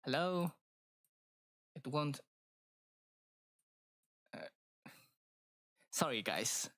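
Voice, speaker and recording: A woman's voice: sits low, lively intonation, very slow, a bit bright, dry recording, studio quality. A woman streamer with a stuffy nose chatting casually and rambling, with lots of fillers and hesitations. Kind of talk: casual live-stream chat.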